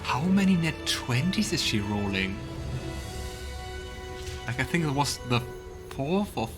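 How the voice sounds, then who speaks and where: A man gives casual let's play commentary in a medium-pitched voice. He muses conversationally as he goes, with a wry touch. Game music is clearly audible behind him. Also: sing-song, a little slow.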